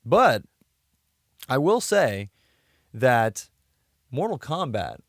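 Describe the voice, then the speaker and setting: A man's voice: mid-range voice, sing-song, drawn-out words, no room sound, clean studio sound. A man co-hosting a podcast, chatting casually and conversationally about films. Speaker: podcast co-host. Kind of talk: casual chat about video game films.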